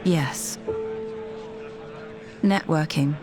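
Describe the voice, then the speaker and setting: A woman narrating a scripted guided meditation in a measured, deliberate, soothing manner. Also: deep voice. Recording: voice over background music. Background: music.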